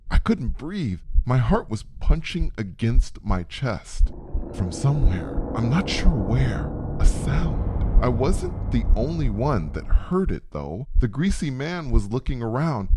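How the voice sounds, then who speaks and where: A man's voice: lowish voice, darkish tone, no room sound. A man narrating a suspenseful first-person story in short, dramatic phrases, as a scripted storyteller.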